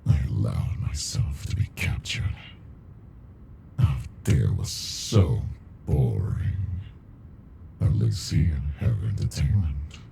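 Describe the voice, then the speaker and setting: Extremely deep, gravelly alien captive. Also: male voice, very animated, warm tone, slight background noise, exaggerated voice. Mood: condescending, amused. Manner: slow, menacing, condescending, breathy murmuring. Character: captive male alien, ancient, arrogant, amused predator toying with humans. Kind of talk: ASMR monster roleplay, scripted monologue.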